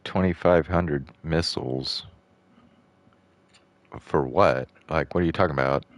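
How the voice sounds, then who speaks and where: A man's voice: a bass voice, measured pace, dry recording. A young man streaming a game, chatting casually in a rambling, blunt way and swearing freely. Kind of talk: casual gameplay commentary.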